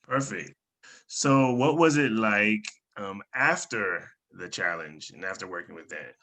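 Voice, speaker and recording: A man's voice: on the low side, animated, a little slow, bright, forceful tone. A man interviewing a guest, asking brief, upbeat questions in turn.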